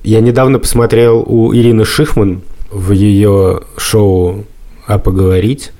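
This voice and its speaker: A man's voice: fairly low pitch, little pitch movement, measured pace, dark tone. A man hosting a podcast, talking casually and explaining things at length in a reflective way. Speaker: podcast host.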